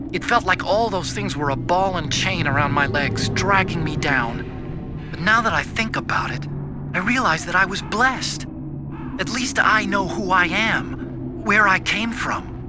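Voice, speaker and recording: A gentle, sensitive scientist with a fairly high voice. He speaks earnestly and confessionally in video game drama style, with loud music behind. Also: animated, a little slow. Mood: reflective, sad.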